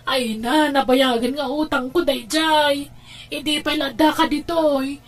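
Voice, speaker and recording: A very high-voiced Ilocano woman played by an actress, a hard-up older sister in a radio drama. She argues emotionally and stands her ground despite her debts. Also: fairly even intonation, a little slow. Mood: pleading. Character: hard-up, combative older sister deep in debt.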